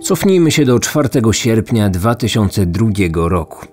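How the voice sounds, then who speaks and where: Guy doing true crime narration, lowish voice, measured, bit of music behind. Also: big pitch swings, a little mellow, husky. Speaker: true crime podcast host. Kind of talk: scripted narration.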